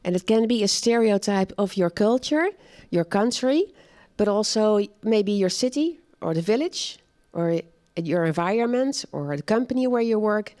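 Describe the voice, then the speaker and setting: A woman presenting a live seminar talk on culture, speaking in a measured, plain way and checking in with her audience. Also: medium pitch, lively intonation, darkish tone.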